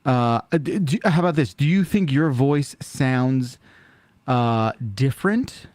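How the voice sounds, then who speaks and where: A man's voice: mid-range voice, lively intonation, a little slow, a little mellow, dry recording. A man hosting a call-in podcast in casual chat, wry and joking, often restarting mid-sentence. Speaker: podcast host.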